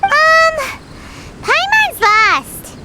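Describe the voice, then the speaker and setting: A woman voicing a tiny, naive, childlike fairy companion in a video game, with a squeaky, chirpy delivery. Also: sing-song, extremely slow, very noisy, heavily acted. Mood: confused. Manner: chirpy, exclaiming, speaks of self by name.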